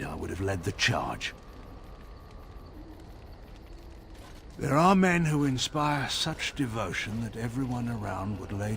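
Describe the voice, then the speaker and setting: A man playing a proud, weary, sardonic veteran warrior nobleman in a fantasy video game, speaking in a wry, grave and measured way with formal phrasing. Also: on the low side. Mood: solemn, reflective. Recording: studio voice with game music behind. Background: music.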